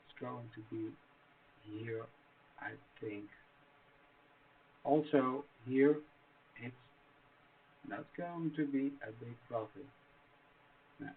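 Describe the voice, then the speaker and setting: A man with a Dutch accent walks through a chart tutorial at an unhurried, slow pace. He halts often and restarts his sentences with plenty of fillers. The audio sounds narrow and tinny, like a phone line. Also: mid-range voice. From Netherlands. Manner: halting, many fillers and restarts. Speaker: trading strategy explainer. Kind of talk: screen-share tutorial.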